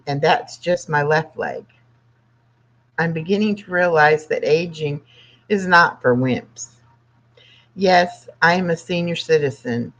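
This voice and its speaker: A sixty-year-old livestream host chatting casually and reading aloud in a plainspoken, halting way, with pauses between phrases. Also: medium pitch, fairly bright tone. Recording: dull lo-fi livestream audio.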